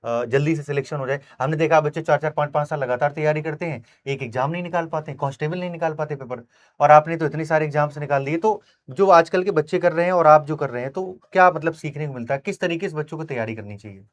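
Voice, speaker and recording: A man's voice: a little high, fast talker. A man interviewing in a conversational, emphatic and encouraging way, often adding tag questions. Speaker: interviewer and coaching teacher. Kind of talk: conversational interview with motivational asides.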